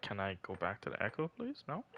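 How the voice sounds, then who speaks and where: A man's voice: lowish voice, huge pitch swings, a little fast, muffled recording, a little hiss or hum. A man streaming a video game, chatting casually and thinking aloud with questions to himself. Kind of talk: casual gameplay commentary.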